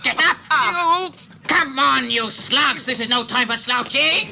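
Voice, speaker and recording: A man's voice: very high-pitched, takes it slowly, creaky, over music, full character voice. An actor playing a brutal male orc captain who barks harsh orders, in an old radio drama. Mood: bullying. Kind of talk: 1980s radio drama acting.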